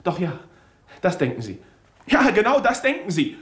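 A defensive young suspect. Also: male voice, high voice, big pitch swings, moderate pace, fairly bright tone, reverberant. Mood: agitated. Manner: defensive, flares up when pressed. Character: young man, nephew under police suspicion. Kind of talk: 1970s radio drama.

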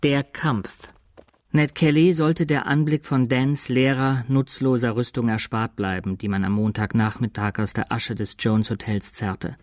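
An actor playing a sober chronicler, narrating a radio drama in a measured, detached, dryly ironic way. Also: male voice, medium pitch, fairly even intonation, a little mellow, dry recording, natural voice. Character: sober male chronicler reporting an outlaw's aftermath.

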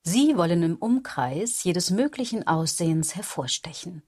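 A woman's voice: on the low side, animated, unhurried, warm tone, no room sound, clean studio sound. An actress playing a cool, needling woman in a radio drama, addressing the listener with pointed irony. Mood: needling, instructive.

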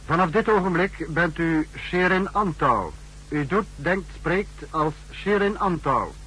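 A man playing a stern, commanding secret service chief in a 1960s radio drama, with formal, measured delivery. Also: a little high, animated, a bit bright, slightly rough, heavily acted, rough recording. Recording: humming old 1960s radio recording.

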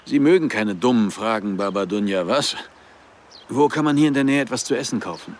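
A man's voice: medium pitch, lively intonation, fairly quick, slight background noise, close to an everyday voice. An actor playing a hostile, arrogant father in a radio drama, curt and belligerent. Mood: impatient, scornful. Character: hostile, arrogant newcomer father, gruff and aggressive.

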